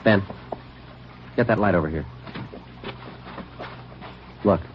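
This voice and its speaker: Deadpan low-voiced radio cop. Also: male voice, slow pace, a little hiss or hum. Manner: flat, matter-of-fact, clipped. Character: terse deadpan male police detective. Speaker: police detective and narrator. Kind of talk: 1950s radio drama, clipped narration. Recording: muffled vintage radio broadcast with music.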